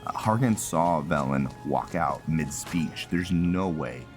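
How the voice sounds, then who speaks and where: Man, deep voice, rambling gameplay commentary, casual, music behind. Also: slow pace. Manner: rambling, thinking aloud. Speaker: gamer narrating a playthrough.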